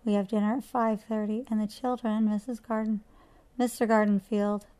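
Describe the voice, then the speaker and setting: A woman voicing a brisk, easily offended neighbor mother. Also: medium pitch, flat delivery, warm tone, clear, resonant tone, close to an everyday voice.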